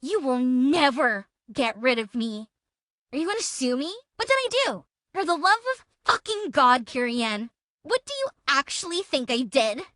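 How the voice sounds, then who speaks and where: A woman's voice: high-pitched, sing-song, normal speed, fairly bright tone, dry recording, clean studio sound. A woman playing a belligerent, self-important woman in a feud, taunting and accusatory, as a theatrical dramatic reading. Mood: indignant. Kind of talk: dramatic reading of a text exchange.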